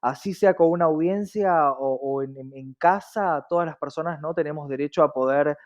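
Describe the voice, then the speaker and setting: A man's voice: a little high, moderate pace. Argentine man, reflective interviewee, dull lo-fi audio. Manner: frequently checks in with rhetorical tag questions. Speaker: podcast guest, diversity professional.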